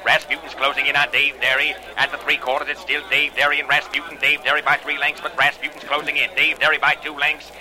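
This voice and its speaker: An actor playing an excited horse race announcer calling the action in a 1940s radio drama. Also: male voice, fairly high pitch, fast talker, hardly any pauses. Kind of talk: rapid-fire race commentary in radio drama. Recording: noisy vintage radio recording.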